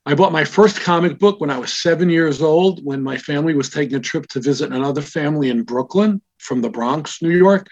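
A man's voice: medium pitch, vocal fry, husky. An older man born in Israel, a comic store owner, telling stories from his past in a wry, reflective, casual interview. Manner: reflective, wry, unhurried storytelling. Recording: dull lo-fi remote audio.

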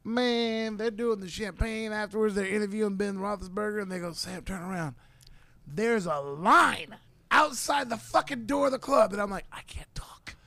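Man, high voice, comic storyteller, cusses freely, casual studio talk. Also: moderate pace, no room sound. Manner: swears a lot, tells stories with loud laughs. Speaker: comedian, host. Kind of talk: casual chat. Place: podcast studio.

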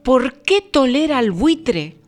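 A woman's voice: high-pitched, big pitch swings, takes it slowly, a little mellow, faint music behind, exaggerated voice. A woman playing a polite, eager lady passer-by in an audio story, with a theatrical, obliging manner. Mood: curious. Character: polite, eager passing lady offering help.

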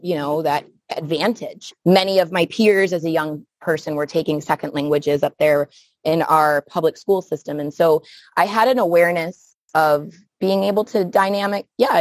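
A woman's voice: deep voice, no echo. A middle-aged woman from upstate New York, answering interview questions thoughtfully and searching for words as she talks. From Buffalo, New York, United States. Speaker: podcast guest, outdoor play educator.

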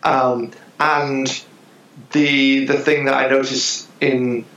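Male guest expert, gravelly voice, dull echoey remote audio. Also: mid-range voice, level tone, slow, fairly bright tone. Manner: thoughtful, explanatory, with hesitations. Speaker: business psychologist, guest. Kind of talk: interview answers.

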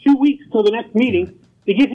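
A man speaking as a township supervisor at a public meeting, measured and formal. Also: very high-pitched. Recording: dull lo-fi meeting recording.

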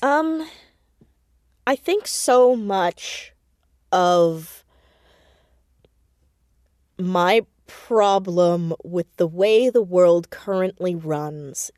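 Casting: American woman, lowish voice, earnest interview guest, emphatic and thoughtful, dry close sound. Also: animated, extremely slow, long pauses, darkish tone, dry recording. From United States, New Jersey suburb. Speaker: interview guest, audio drama creator. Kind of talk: reflective conversational interview.

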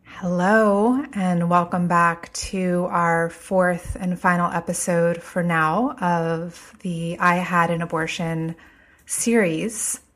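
A woman hosting a podcast, speaking a little stuffed up from a cold, earnest and slow on her emphatic phrases. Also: fairly low pitch, fairly even intonation, a bit bright, faint music behind. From United States. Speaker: podcast host. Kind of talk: podcast intro monologue.

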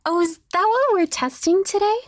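A woman's voice: extremely high pitch, normal speed, no echo, studio quality. An actress playing a chirpy female test volunteer in an audio drama, friendly and eager to please.

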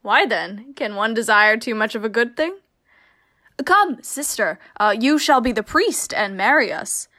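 A woman's voice: fairly high pitch, animated, a little slow, bright, forceful tone. A woman playing a witty, commanding young heroine in a Shakespeare radio comedy, teasing and mock-stern. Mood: eager, teasing. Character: witty, commanding young woman, playfully testing her suitor.

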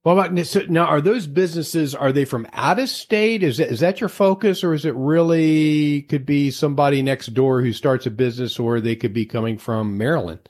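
A man's voice: fairly high pitch, normal speed, hardly any pauses, a little mellow. An American man hosting a casual interview in a chatty, folksy way, rambling into asides. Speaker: interview host.

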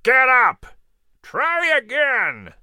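A man voicing a wise, stern adult eagle with a slow, commanding, challenging manner in a storybook. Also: sits high, big pitch swings, sharp tone, clean tone, no room sound, clean studio sound, voice pushed up, full character voice. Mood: stern urging.

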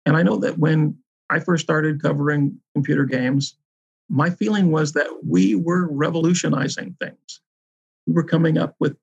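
Mature male interviewee, raspy, creaky, reflective, spoken interview, slightly muffled lo-fi recording. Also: fairly high pitch. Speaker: interviewee, games journalist.

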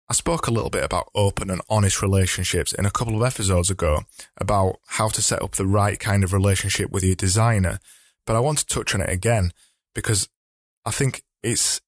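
Slightly hoarse, low-voiced male podcast host. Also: dry recording, clean studio sound. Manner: chatty, explanatory, with frequent restarts. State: slightly hoarse, losing his voice. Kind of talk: solo advice podcast talk.

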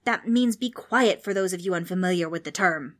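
Lady doing a cranky old man ghost for a kids story, loud n screechy, bossy. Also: lowish voice, a little fast, natural voice. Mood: cranky, blustering. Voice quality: screechy, roaring. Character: bossy grumpy old male ghost, crotchety and blustering.

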